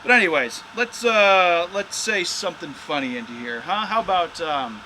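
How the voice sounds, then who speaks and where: A man's voice: sits high, animated, moderate pace, bright, forceful tone, slight background noise. A man hosting a casual, hands-on product test of drone gear as a reviewer.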